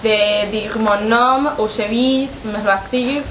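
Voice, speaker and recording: A woman's voice: on the high side, steady tone, takes it slowly. An eighteen-year-old woman from Argentina, a Spanish speaker, giving a prepared account as a student of French. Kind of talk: prepared personal testimony in French as a learner. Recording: muffled echoey recording, faint noise.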